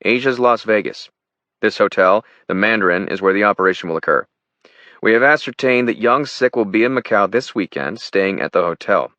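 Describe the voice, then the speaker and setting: A man's voice: lowish voice, fairly quick, bright, forceful tone, no echo, dull audio, pitched above the performer's own voice. A man playing a confident, brusque paramilitary chief in his thirties, delivering lines like a firm military briefing in an audiobook. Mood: businesslike, firm. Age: 30s.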